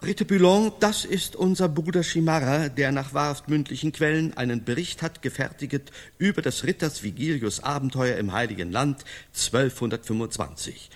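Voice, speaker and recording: An actor playing a pompous, blunt medieval knight in a radio play, speaking ceremoniously and dryly. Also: male voice, medium pitch, moderate pace, few pauses, lightly acted. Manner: ceremonious, dry.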